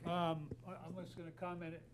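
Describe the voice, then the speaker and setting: A man's voice: a little high, little pitch movement, fast talker, noisy background. A man speaking as a panelist in a live investor panel, rambling and correcting himself as he goes.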